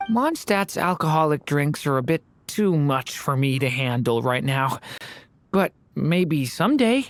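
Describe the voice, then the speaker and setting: A woman voicing a gentle, earnest young dragon boy in human form in a video game. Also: low voice, lively intonation, measured pace, soft background music. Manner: earnest, hesitant, mild. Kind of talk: video game character acting.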